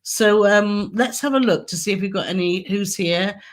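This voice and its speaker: A woman with a hoarse, croaky throat co-hosting a casual live Q&A, chatty and giggly as she reads out viewer comments. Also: medium pitch, normal speed. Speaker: co-host of a travel channel live stream.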